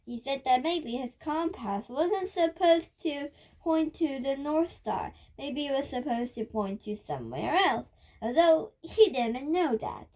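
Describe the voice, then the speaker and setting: Little girl reading a book out loud, high voice, slow n careful, bit halting. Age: child. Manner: careful, halting read-aloud with occasional stumbles. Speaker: reader of a children's nonfiction book.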